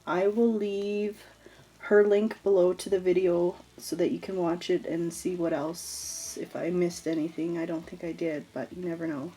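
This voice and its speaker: A woman's voice: lowish voice, fairly even intonation, moderate pace, dark tone, breathy edge, rough recording. A woman with a congested, nasal voice chatting casually through a scrapbooking tutorial, rambling with fillers and small laughs. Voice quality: stuffed-up, nasal. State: congested from allergies. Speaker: scrapbooking vlogger. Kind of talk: casual craft tutorial chat.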